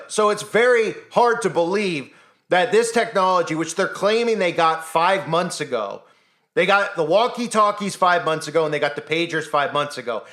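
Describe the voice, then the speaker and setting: Man giving heated solo commentary. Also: sits high. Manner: animated, rhetorical questions, skeptical. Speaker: commentary channel host. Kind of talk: solo news commentary monologue.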